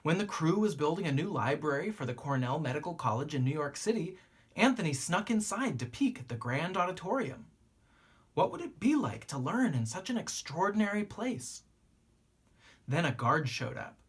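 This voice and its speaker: Storybook narrator for young listeners: lively, swooping pitch, lightly husky tone, teacherly read-aloud delivery. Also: moderate pace. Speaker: teacher reading a picture book aloud.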